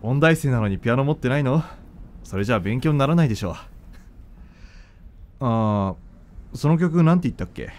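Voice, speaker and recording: A man playing an arrogant, bitter young doctor in a radio drama, speaking in a rough, curt, casual way. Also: mid-range voice, animated, normal speed, pauses often, darkish tone, natural voice. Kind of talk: radio drama acting with inner narration.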